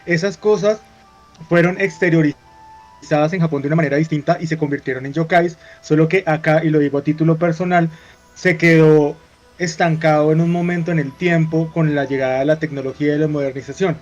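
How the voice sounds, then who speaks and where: Higher-voiced man, quick, lo-fi. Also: fairly even intonation, darkish tone, soft background music. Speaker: co-host. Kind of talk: talk show opinion. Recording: slightly dull lo-fi audio.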